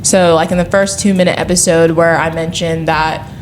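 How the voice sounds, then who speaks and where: A woman's voice: on the low side, little pitch movement, moderate pace, slight background noise. A young woman from Atlanta hosting a podcast in a friendly, chatty way, talking in loose run-on thoughts. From Atlanta, Georgia, USA. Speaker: podcast host. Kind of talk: casual podcast chat.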